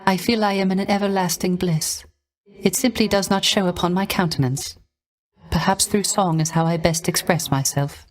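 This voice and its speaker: A male actor voicing a gentle, melancholy court musician in a video game, with soft, genteel manners and formal, archaic, theatrical phrasing. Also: high-pitched, fairly even intonation, normal speed, natural voice. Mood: courteous encouragement. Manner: soft, genteel, wistful, ornately polite.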